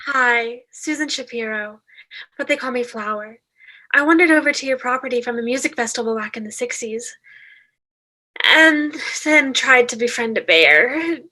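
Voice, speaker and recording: A woman's voice: fairly high pitch, normal speed, pushed and bright, low-quality recording. A woman playing a spacey, friendly hippie ghost in an amateur table read, rambling in a breezy, matter-of-fact way. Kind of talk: amateur table read over video call.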